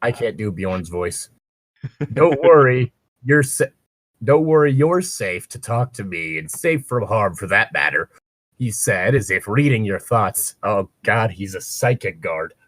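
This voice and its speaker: A man's voice: on the low side, animated, unhurried, fairly bright tone, dry recording, poor audio. A man reading a story aloud and breaking off into wry, sweary, rambling casual commentary as co-host. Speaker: co-host of a fan fiction reading show.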